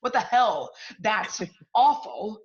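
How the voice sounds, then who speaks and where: An actress plays a domineering female literary agent in a one-act play, with a fairly high voice. She is blunt, profane and impatient. The recording is slightly dull and lo-fi. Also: sing-song, takes it slowly. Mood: cynical, emphatic.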